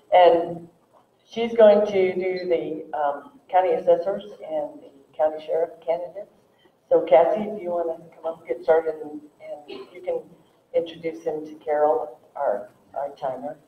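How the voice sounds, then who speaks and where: A woman's voice: low voice, unhurried. An older woman moderating a public forum from a podium in a large community hall, chatty and laughing easily as she hands things over. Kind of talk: casual emcee remarks. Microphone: podium mic. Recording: echoey hall, distant, dull, faint hiss.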